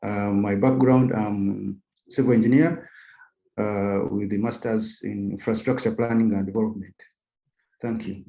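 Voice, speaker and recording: A man from Uganda introduces himself politely on a video call. His voice is low and mellow, and it sounds roomy. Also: fairly even intonation, unhurried, vocal fry. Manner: polite, plain, slightly hesitant. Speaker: civil engineer, dam safety official.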